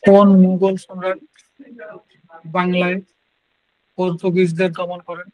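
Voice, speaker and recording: A man teaching a question-and-answer exam lesson, repeating questions and answers in short, halting phrases. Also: high-pitched, monotone, warm tone, no echo, rough recording.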